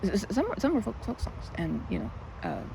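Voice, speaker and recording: A woman's voice: medium pitch, huge pitch swings, brisk, full character voice. A woman playing a timid, flustered young woman in an ASMR roleplay, stammering and hesitant, rambling self-effacingly. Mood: bashful, modest. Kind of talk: ASMR roleplay, one-sided conversation. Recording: clearly noisy outdoor ambience.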